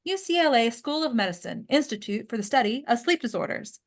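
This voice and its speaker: A woman's voice: a little high, lively intonation, brisk. A woman reading scripted stage directions as narrator of a screenplay table read.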